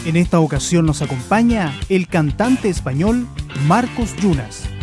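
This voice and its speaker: A man's voice: high-pitched, lively intonation, a little slow. A man reading a formal, scripted introduction to a radio program. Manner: formal, announcing. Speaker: program announcer. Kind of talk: scripted announcement. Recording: clear music under voice.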